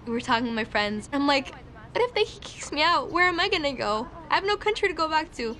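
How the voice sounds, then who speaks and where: A woman's voice: high voice, big pitch swings, fast talker, bright, forceful tone. A young woman in her late teens from Syria, talking casually and personally, as in a documentary interview. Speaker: documentary subject, high school student.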